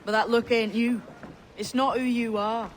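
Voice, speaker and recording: A woman's voice: a little high, fairly even intonation, moderate pace, a little hiss or hum, lightly acted. A woman playing a shrewd, guarded young pirate in disguise in a video game, plain-spoken and colloquial. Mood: wry, challenging. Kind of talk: dramatic video game acting.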